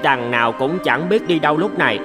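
A man's voice: fairly high pitch, lively intonation, fairly quick, music in the background, lightly acted. A man voicing a naive, good-natured young peasant in a cartoon fairy tale, eager and wide-eyed. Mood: amazed.

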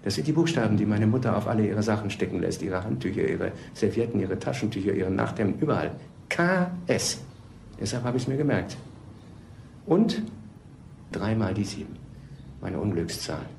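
An actor playing an earnest, odd, obsessive suspect in a crime radio drama, halting and insistent, in an echoing room. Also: male voice, on the low side, lively intonation, creaky, darkish tone. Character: earnest, odd, obsessive young murder suspect with mother fixation. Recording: echoing room, faint background noise.

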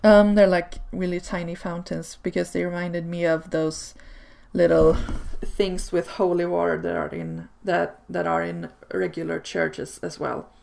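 A woman whose first language is Swedish, chatting casually over gameplay footage in a relaxed way, with frequent ums and small laughs. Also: fairly low pitch, a little slow, creaky, few pauses. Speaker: video creator. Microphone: home desk microphone.

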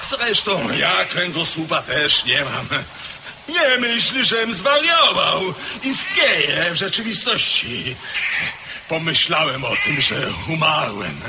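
An actor playing a weary, nihilistic Russian aristocrat officer in a radio drama, speaking in a declamatory way. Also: male voice, a little high, very animated, slow pace, exaggerated voice. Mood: bitter. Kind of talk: 1970s-80s radio drama acting. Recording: old radio play recording with background noise.

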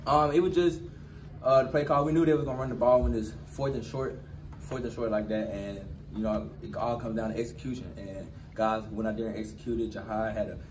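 Fast-talking young man at press mics. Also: lowish voice. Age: 20s. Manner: quick, repeats phrases, relaxed slang. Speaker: college football player. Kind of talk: post-game press conference answers. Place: crowded press room indoors. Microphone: cluster of handheld press mics. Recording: roomy distant press room with noise.

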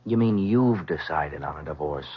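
Young son in old radio play, earnest n argumentative, raspy, lively pitch, dull sound. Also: male voice, normal speed, heavily acted. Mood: defiant. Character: earnest, confrontational grown son protective of his mother. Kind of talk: 1970s radio drama acting. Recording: muffled vintage radio recording.

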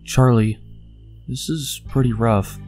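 A young man playing a gentle, concerned friend in a comic dub, speaking in a hesitant, earnest way. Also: mid-range voice, fairly even intonation, slow, over music, close to an everyday voice. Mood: somber. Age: young adult. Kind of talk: animated comic dub acting.

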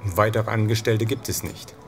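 A man's voice: fairly low pitch, steady tone, moderate pace, soft background music, close to an everyday voice. An actor playing a resentful male shop clerk under suspicion in an audio drama, indignant and falsely polite. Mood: defensive, indignant. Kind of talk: German audio drama acting.

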